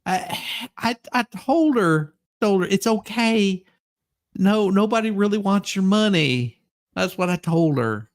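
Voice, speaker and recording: A woman's voice: medium pitch, lively intonation, measured pace, close to an everyday voice. A woman voicing a folksy, sassy Southern courtroom witness in a theatrical, stammering comedic reading. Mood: defensive, folksy.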